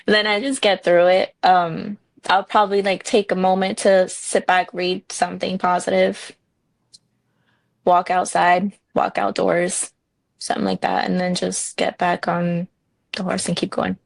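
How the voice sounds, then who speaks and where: Gravelly-voiced woman in a dry room. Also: lowish voice, a little slow, no room sound. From New Jersey. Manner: frank, relaxed, many ums. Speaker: real estate broker, guest. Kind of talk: interview answers.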